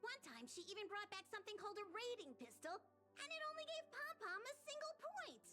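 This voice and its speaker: A woman's voice: very high-pitched, big pitch swings, a little fast, loud music behind the voice. A woman voicing a small cute train conductor creature in a video game, fussy but caring, speaking of itself in the third person. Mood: fussy, complaining.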